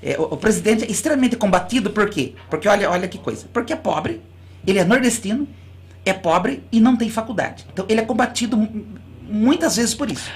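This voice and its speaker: Earnest school director with restarts. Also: female voice, mid-range voice, lively intonation, fast, darkish tone. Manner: earnest, speaks in long explanatory runs with frequent restarts. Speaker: school director, interview guest. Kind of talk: radio interview. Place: radio studio.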